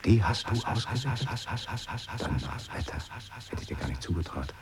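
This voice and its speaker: A man's voice: sits low, very animated, moderate pace, in a whisper. An actor playing a sceptical, grumbling man who questions his pal in colloquial, incredulous radio play dialogue.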